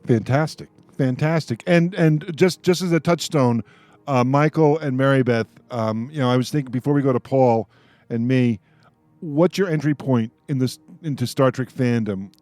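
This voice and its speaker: A man's voice: medium pitch, normal speed, a little mellow, no echo, faint music behind. A man in his sixties hosting a radio panel, chatting casually and circling back as he rambles through questions and memories. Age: 60s. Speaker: radio host. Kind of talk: casual chat, moderating a panel.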